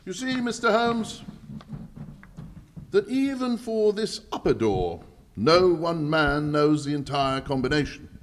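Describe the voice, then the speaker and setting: An actor playing a pompous, formal English lord in a live old-time radio mystery, speaking with declamatory emphasis. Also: male voice, fairly high pitch, big pitch swings, slow pace. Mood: proud, emphatic. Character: pompous English lord guarding the nation's gold vault. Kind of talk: old-time radio drama acting on stage. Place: live stage performance at a conference.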